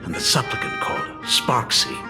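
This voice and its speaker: A man's voice: moderate pace, natural voice. A middle-aged actor playing a polite, hesitant male school dean and father in a video game. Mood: measured. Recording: reverberant game audio with music.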